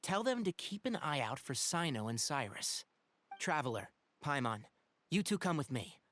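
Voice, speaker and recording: Boyish forest ranger for a game, brisk, responsible, animated pitch, decisive. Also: male voice. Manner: polite, precise, decisive. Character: youthful, level-headed male forest watcher, brisk and responsible. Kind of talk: video game voice acting.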